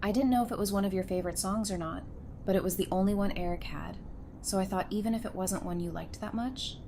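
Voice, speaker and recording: A woman's voice: medium pitch, fast, dark tone, slight background noise, close to an everyday voice. Woman acting a playful, teasing young survivor. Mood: warm, eager. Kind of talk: fan audio drama acting.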